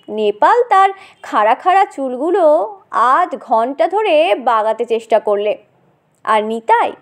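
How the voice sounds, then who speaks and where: Female comic audiobook narrator: very lively intonation, unhurried delivery, theatrical and chatty. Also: high-pitched. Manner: dramatic, conversational, addresses listener directly. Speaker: storyteller.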